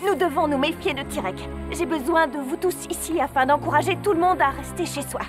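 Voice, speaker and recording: A woman playing a young, anxious pony princess in a French cartoon dub, earnest and expressive. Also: sits high, fairly quick, natural voice. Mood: worried, resolute. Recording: music behind the voice.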